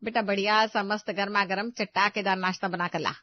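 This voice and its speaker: A woman's voice: mid-range voice, fairly even intonation, a little fast, no room sound, lightly acted. A woman playing a bossy, thrifty middle-aged mother-in-law in a family story, chiding and emphatic, in a slightly dull recording. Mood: hospitable.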